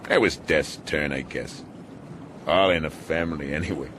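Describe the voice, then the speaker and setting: An actor playing a gruff, ailing, sardonic male sea captain in a radio drama, halting and muttering as if in pain. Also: rumbling low. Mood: wry, weary. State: weary and in pain from headaches. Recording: noisy, boat and sea ambience.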